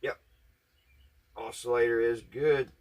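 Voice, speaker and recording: A man's voice: mid-range voice, fairly even intonation, takes it slowly. A man giving casual, hands-on repair commentary, folksy and musing aloud to himself as he works. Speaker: hobbyist repair vlogger.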